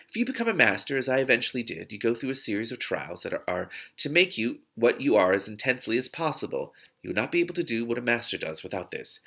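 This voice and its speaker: Man reading as formal, wise woman mentor, animated. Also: mid-range voice, vocal fry, slightly rough, heavily acted. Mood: measured. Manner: formal, explanatory, deliberate. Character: wise, measured female magic ward master, mentor. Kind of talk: audiobook-style character dialogue.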